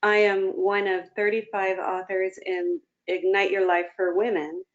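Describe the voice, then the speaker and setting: A woman's voice: medium pitch, flat delivery, unhurried. A woman talking casually and reflectively as an interview guest, with an encouraging manner. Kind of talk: casual, reflective personal talk. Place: remote video call. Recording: dull lo-fi video-call audio.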